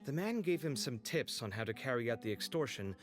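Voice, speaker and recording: A man's voice: medium pitch, animated, faint music behind, close to an everyday voice. An actor playing a matter-of-fact scholar in a game cutscene, briefing his friends. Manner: brisk, matter-of-fact explaining.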